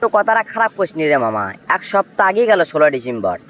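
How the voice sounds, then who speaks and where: Man acting as sly young village friend, quick, slightly high male voice, Bogura accent, insistent, teasing, comic cartoon. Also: big pitch swings, a bit bright. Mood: needling. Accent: Bogura, northern Bangladesh. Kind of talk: comic cartoon dialogue in rural dialect.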